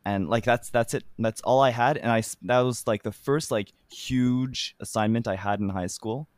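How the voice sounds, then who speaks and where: A man's voice: mid-range voice, normal speed, no echo. Casting: young Canadian guy, wry rambling podcast co-host, dry close mic. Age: young adult. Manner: rambling, wry, lots of likes and ums. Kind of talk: casual chat.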